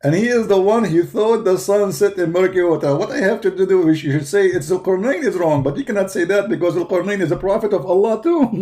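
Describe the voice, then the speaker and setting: Mocking man with a mellow voice. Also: high voice. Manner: mocking, combative, laughs often. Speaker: debate show host. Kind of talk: live reaction commentary.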